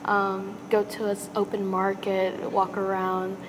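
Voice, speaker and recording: A young woman guest answering interview questions in a lively, animated way. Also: mid-range voice, unhurried, background noise. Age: young adult. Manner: lively, talks with her hands. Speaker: student guest. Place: indoor studio set. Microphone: lapel mic.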